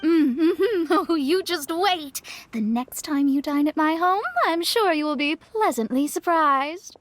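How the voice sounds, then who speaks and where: A woman's voice: high-pitched, measured pace. A woman voicing an earnest, self-assured young astrologer in a video game. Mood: pleased, confident. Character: proud but earnest young female astrologer. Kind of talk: animated video game acting.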